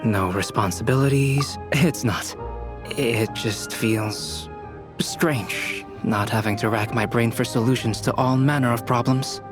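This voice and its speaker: A man voicing a calm, reserved young alchemist in a video game, soft-spoken, polite and reflective. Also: medium pitch, unhurried, music in the background, lightly acted. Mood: pensive.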